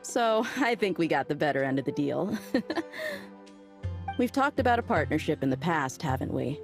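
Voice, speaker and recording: A breezy desert mercenary woman from a video game. Her voice sits low and she talks in a loose, slangy, friendly way. Music plays clearly behind her. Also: wildly swooping pitch, unhurried, full character voice. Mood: easygoing. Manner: casual, chatty, slangy. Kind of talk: video game character acting.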